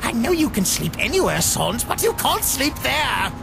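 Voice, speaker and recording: A woman voicing a loud, anxious, scolding young skeleton brother in a cartoonish comic dub, fretful and exclamatory. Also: fairly high pitch, very animated, moderate pace, gravelly, music in the background.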